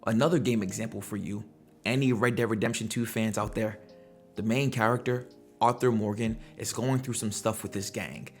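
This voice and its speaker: A man's voice: lowish voice, steady tone, normal speed. Guy doing casual gaming commentary, slangy, asks rhetorical questions, music under him. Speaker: host of a gaming commentary video. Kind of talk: casual opinionated video commentary.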